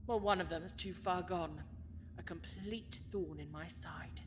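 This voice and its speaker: A woman's voice: on the low side, unhurried, a little hiss or hum, lightly acted. A woman playing a cold, bitter villainess who rules a dungeon, speaking with haughty, theatrical menace in a fantasy audio drama. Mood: menacing. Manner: haughty, menacing, theatrical, swinging from hushed to forceful.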